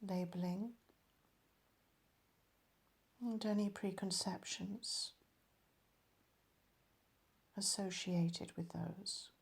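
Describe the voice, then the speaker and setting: A woman leading a guided meditation as a teacher, calm and measured, letting her phrases end softly. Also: lowish voice, gravelly.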